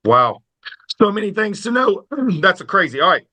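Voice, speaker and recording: A man hosting a conversational podcast interview in a folksy, polite, animated manner. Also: high voice, moderate pace. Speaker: podcast host.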